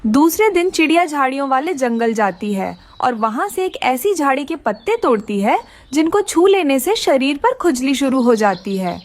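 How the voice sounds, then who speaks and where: A woman's voice: sits high, very animated, normal speed, few pauses. A woman narrating a children's story from a script as the storyteller.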